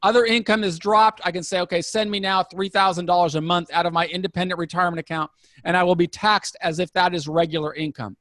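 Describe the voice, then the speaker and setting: A man in his fifties with a notably high voice, recorded dry with very little room sound. He speaks as a conversational interviewer, curious and deliberate. He often restates a point to check that he has it right. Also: steady tone, fairly bright tone, no room sound. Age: 50s. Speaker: interviewer asking about retirement investing.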